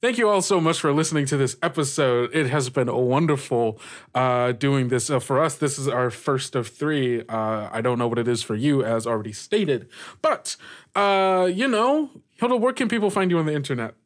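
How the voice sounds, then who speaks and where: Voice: mid-range voice, normal speed. A game master hosting a tabletop session in an informal, slangy, encouraging way, chatting casually with the players.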